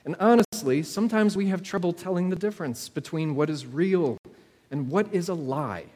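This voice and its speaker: Man, high-pitched voice, preacher, measured storytelling sermon, dramatic pauses, long reverberant hall. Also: animated, a little mellow.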